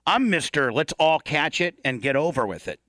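A man's voice: on the high side, very animated, moderate pace, pushed and bright, no room sound, clean studio sound. A man hosting a casual sports talk show, bantering in a blunt, joking and exaggerating manner. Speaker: radio show host.

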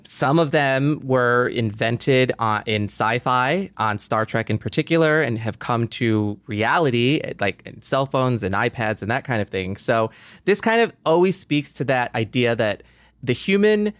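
A man's voice: medium pitch, unhurried, fairly bright tone, no room sound. A man on a podcast panel, talking casually and thoughtfully, explaining his points through strings of examples.

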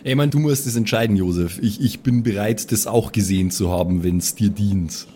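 A man's voice: on the low side, fairly quick, dark tone, slight background noise. A man talking casually as a player in an improvised tabletop role-play. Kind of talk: casual improvised game talk.

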